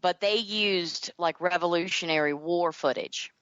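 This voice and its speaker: A woman's voice: lowish voice, a little slow, a bit bright, dry recording. A woman with a Southern American accent chatting casually as an interview guest about creative work. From Southern United States. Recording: dull lo-fi audio.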